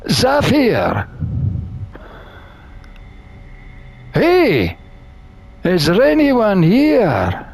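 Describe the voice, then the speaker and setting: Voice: mid-range voice, wildly swooping pitch, very slow, a little mellow, exaggerated voice. A gamer voicing an anxious, earnest satyr adventurer from a visual novel, exclamatory and dramatic. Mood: urgent calling.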